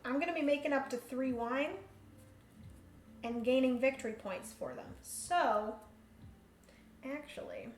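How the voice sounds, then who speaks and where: A woman's voice: high voice, a little slow, long pauses, soft background music. A woman hosting a solo board game livestream, chatty, thinking aloud and laughing often. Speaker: host. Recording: roomy room echo, faint hum.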